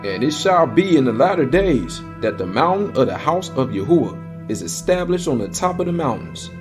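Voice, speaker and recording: Solemn man reading scripture, lively pitch over music. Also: normal speed. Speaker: narrator. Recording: music bed behind the voice. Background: musical score.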